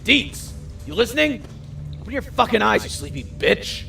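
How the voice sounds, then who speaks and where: A man's voice: high voice, very animated, moderate pace, vocal fry, pushed and bright, far from the mic, faint music behind, heavily acted. A man playing a short-tempered, sarcastic vampire gang boss who swears and barks orders, in an amateur audio drama. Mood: exasperated. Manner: profane, sarcastic, bossy.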